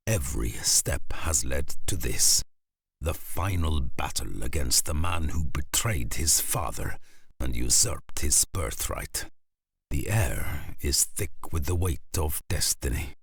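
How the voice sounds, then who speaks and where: An actor playing a grave saga narrator in a Viking video game, gravelly and husky, with portentous, deliberate scripted delivery. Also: male voice, a bass voice, no room sound, heavily acted.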